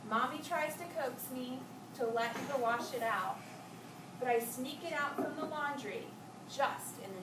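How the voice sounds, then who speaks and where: High-voiced young woman in a noisy, echoey room. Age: young adult. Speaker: visiting student teacher reading to children. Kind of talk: read-aloud and chat with young children. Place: indoor school classroom with background noise. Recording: roomy, distant, noisy classroom recording.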